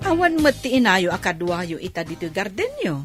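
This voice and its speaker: An actress playing a nosy, mocking Ilocano auntie who wheedles in a radio comedy drama. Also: female voice, medium pitch, very animated, normal speed, music in the background, close to an everyday voice. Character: nosy, scornful auntie who mooches money from relatives.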